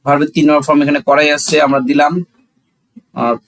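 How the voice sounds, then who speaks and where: A man's voice: a little high, little pitch movement, fast talker, fairly bright tone, a little hiss or hum. Bangladeshi male teacher explaining step by step. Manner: instructional, asks and answers own questions, switches between Bengali and English. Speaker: English grammar teacher. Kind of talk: classroom-style lesson, explaining step by step.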